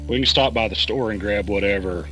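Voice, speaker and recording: A man's voice: lowish voice, fairly quick, fairly bright tone, faint music behind, natural voice, low-quality recording. An actor playing a wary, uneasy man caught in a conspiracy, speaking hesitantly in a naturalistic audio drama style. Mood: practical. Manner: hesitant, questioning.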